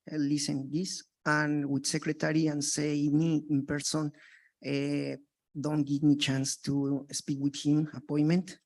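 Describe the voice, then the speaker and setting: A man from Mexico, a native Spanish speaker with a Mexican Spanish accent, making an earnest, halting public comment in broken English. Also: on the high side, creaky. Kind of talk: public comment at a board meeting.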